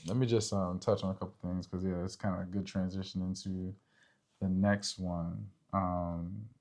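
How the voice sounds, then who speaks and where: A man's voice: deep voice, flat delivery, moderate pace, a little mellow. A young man with an American accent co-hosting a relationship show in loose, informal chat, often checking for agreement. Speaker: co-host of a couples' relationship show. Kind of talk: casual chat.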